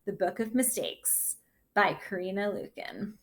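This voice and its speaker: A woman teacher giving a read-aloud lesson for children, reading a picture book with gentle, deliberate, expressive phrasing and her own commentary. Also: mid-range voice. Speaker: sixth grade teacher.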